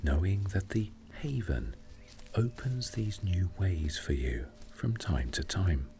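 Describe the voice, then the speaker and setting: Male sleep-story narrator: low, gravelly, gentle and unhurried, breathy at phrase ends, faint music bed. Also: animated. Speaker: meditation narrator.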